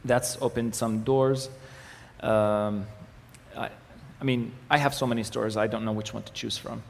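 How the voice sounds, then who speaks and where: A young man from the Galilee whose first language is Arabic, presenting his ministry to a church audience in a relaxed, joking manner, with many fillers and restarts. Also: mid-range voice, moderate pace, creaky. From Israel, Galilee. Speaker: ministry communications manager giving a presentation. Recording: echoey hall with faint noise.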